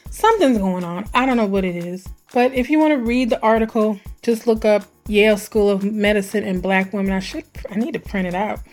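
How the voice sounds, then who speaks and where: Hoarse woman hosting a podcast. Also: mid-range voice, soft background music. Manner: animated, conversational, drawn-out emphasis. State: hoarse, scratchy. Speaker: podcast host. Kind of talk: solo podcast intro.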